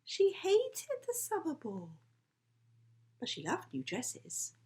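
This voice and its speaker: A woman reading a children's story aloud in an expressive, playful storytelling manner. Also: very high-pitched, takes it slowly, background noise. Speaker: author reading her book.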